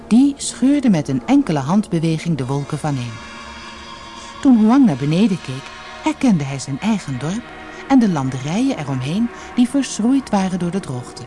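A storyteller reading a scripted fairy tale in an expressive, dramatic manner. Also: mid-range voice.

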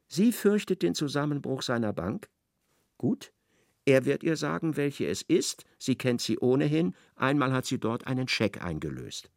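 Ein Mann als Erzähler eines literarischen Hörspiels. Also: male voice, medium pitch, big pitch swings, normal speed, vocal fry, dry recording, studio quality. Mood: measured narration. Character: expressive male narrator of a literary radio play. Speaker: narrator. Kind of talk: literary radio play narration.

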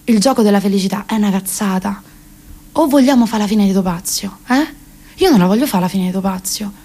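A woman's voice: medium pitch, fairly quick, mellow. A woman in her late twenties with a Roman accent performing a colloquial, sarcastic comic monologue, emphatic and full of rhetorical questions. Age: late 20s.

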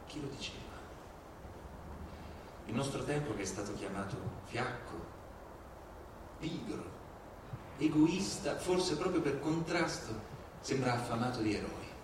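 A man reading a scripted essay on stage in measured, short phrases. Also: medium pitch, lively intonation. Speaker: stage reader. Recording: very echoey hall, distant, noisy.

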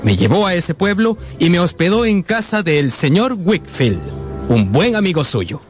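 A man's voice: high-pitched, very animated, a little slow. An actor playing an earnest, sentimental grown man narrating his boyhood in a radio drama. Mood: reflective. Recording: music behind the voice.

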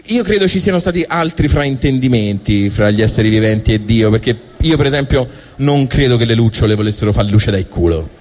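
A man with a Tuscan accent delivering a colloquial, digressive comic monologue on stage, with halting asides. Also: on the low side, darkish tone. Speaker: comic storyteller. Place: live stage show.

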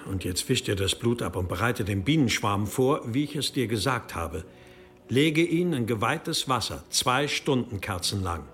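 An actor playing a stern, commanding medieval abbot in an audio drama, curt and menacing. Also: male voice, medium pitch, lively intonation, moderate pace, fairly bright tone, soft background music, lightly acted. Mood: grave, commanding. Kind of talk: German audio drama acting.

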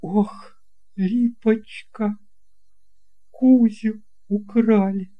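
Woman acting a fretful little old lady, higher-pitched. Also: steady tone, extremely slow, lo-fi sound, soft background music. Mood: distressed, plaintive. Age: older. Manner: plaintive, fretful. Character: frail anxious old woman, fretting over her lost cat.

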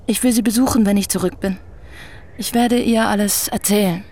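An actress playing a grown daughter in a radio play, with a slightly creaky voice and a composed, measured, quietly firm manner. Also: female voice, medium pitch, steady tone, lightly acted. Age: 30s. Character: grown daughter, calm and resolute.

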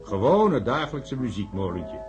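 An actor playing a sceptical, practical man who calms his companions in a Dutch radio drama. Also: mid-range voice, normal speed, over music, natural voice. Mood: brisk, practical. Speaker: character in a radio play.

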